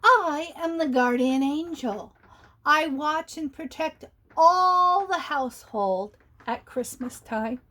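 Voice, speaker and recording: A woman voicing a calm, motherly female guardian angel who speaks gently but firmly. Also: high-pitched, slow, full character voice.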